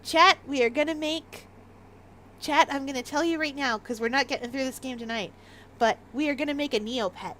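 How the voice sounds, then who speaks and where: A woman's voice: sits high, fairly quick, a bit bright, slight background noise. Chatty woman with a light Maritime accent. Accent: light Maritime Canadian. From Canada. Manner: chatty, giggly, rambling. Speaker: game streamer. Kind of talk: casual livestream chat.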